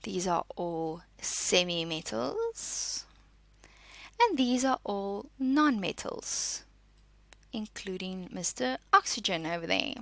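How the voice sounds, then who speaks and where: A woman teaches a school science lesson in a bright voice with lively, varied intonation. She reads and explains in an instructional way, posing questions and answering them, with a creaky edge in a close, dry sound. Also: medium pitch, takes it slowly, no echo. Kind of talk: school lesson, reading notes and explaining exercises.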